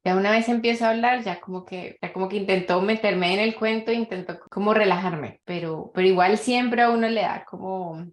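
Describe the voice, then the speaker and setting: A woman's voice: mid-range voice, brisk, hardly any pauses, roomy sound. A woman guest in an interview, talking candidly and hesitantly, with many fillers. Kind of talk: conversational interview.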